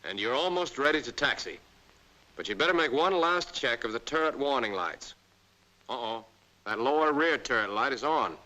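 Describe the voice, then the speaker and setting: A man giving scripted instructional narration for a military training film, talking to the viewer directly as "you" in a brisk way. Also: mid-range voice, animated, pushed and bright, low-quality recording.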